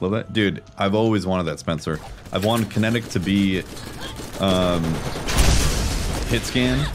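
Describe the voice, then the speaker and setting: A man doing casual live gameplay commentary, chatting with viewers in a slangy, animated way as he plays. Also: low-pitched, very noisy. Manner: animated, reacts out loud while playing. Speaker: game streamer.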